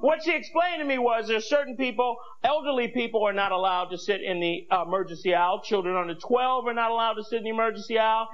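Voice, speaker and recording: An American man in his thirties performing stand-up comedy on stage in an animated, exclaiming manner, speaking into a handheld microphone. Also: high-pitched, quick pace, hardly any pauses. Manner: animated, exclaiming, acting out bits. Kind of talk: stand-up comedy routine to an audience. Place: comedy club stage with audience. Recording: muffled VHS TV recording, noisy audience. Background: audience noise and laughter.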